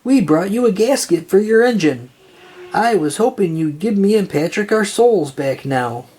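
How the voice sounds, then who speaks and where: A storyteller puts on a male cartoon sea sponge for a picture book. He is nervous, eager and childlike, with a raised, slightly husky voice. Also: mid-range voice, lively intonation, normal speed, a little mellow, pitched above the performer's own voice.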